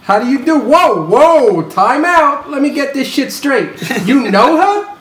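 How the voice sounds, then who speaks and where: A woman's voice: high-pitched, big pitch swings, measured pace. A woman voicing a brash, exasperated, wisecracking male cartoon meerkat in a comic script read. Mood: bewildered, exasperated. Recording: echoey room with faint noise.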